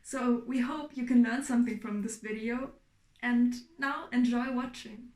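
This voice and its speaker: A young woman from Germany giving a clear, explanatory class presentation as a student. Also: fairly high pitch, fairly even intonation, darkish tone, reverberant. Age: young adult. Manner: clear, explanatory, measured with fillers.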